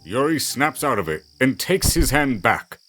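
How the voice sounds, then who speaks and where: A man's voice: mid-range voice, sing-song, normal speed, a bit bright, soft background music. A man reading scripted narration for an audio drama, in a storytelling style.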